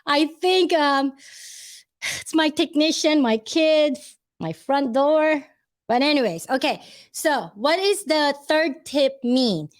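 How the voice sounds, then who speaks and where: A woman's voice: high-pitched, animated, slow. A woman with a Filipino accent talking casually to her viewers, rambling and stopping often to search for the right words. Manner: chatty, laughs easily. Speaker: vlogger giving advice. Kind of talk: casual talk to camera.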